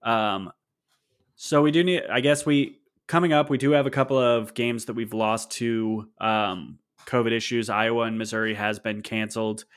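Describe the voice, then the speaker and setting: A man's voice: on the low side, fairly even intonation, moderate pace. Man, podcast host, casual chat, opinionated, wry, lots of ums. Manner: opinionated, wry, many fillers.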